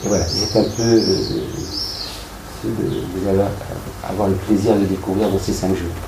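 A man giving welcoming remarks to a class in a halting way, searching for words with many fillers. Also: sits low, steady tone, gravelly. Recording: echoey room, faint background noise.

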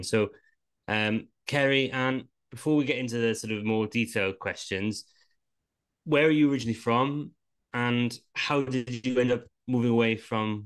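A man hosting a podcast, introducing guests in a relaxed, informal way. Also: mid-range voice. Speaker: podcast host.

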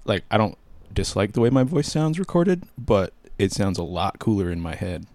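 A young man co-hosting a podcast in casual chat, giving dry, measured, skeptical replies into a podcast mic. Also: on the low side, a little mellow, no room sound. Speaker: podcast co-host.

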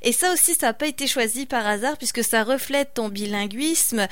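A woman's voice: fairly high pitch, moderate pace, fairly bright tone, dry recording, clean studio sound. A woman hosting an interview, encouraging her guest and affirming with frequent murmurs. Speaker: interview host.

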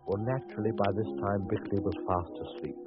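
An actor playing a wry, theatrical mystery storyteller, narrating deliberately in 1940s radio style. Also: male voice, lowish voice, lightly acted. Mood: droll, confiding. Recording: muffled vintage 1940s radio broadcast with music.

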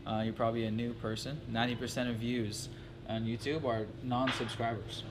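A man's voice: mid-range voice, level tone, brisk. Chatty man vlogger over background noise. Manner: loose, chatty, rambling. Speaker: vlogger host. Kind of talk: casual chat to camera. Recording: noisy ambient background.

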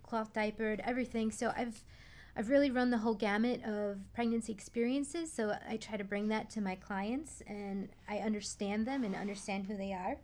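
A woman's voice: mid-range voice, a little mellow. A young adult woman explaining things conversationally as a guest expert in a radio interview, often repeating words as she goes. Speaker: guest expert, massage therapist.

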